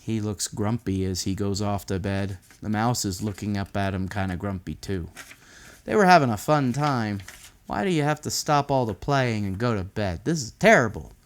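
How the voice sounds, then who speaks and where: A man's voice: lowish voice, animated, normal speed. A man reading a picture book aloud to children, with chatty, improvised asides and childlike enthusiasm.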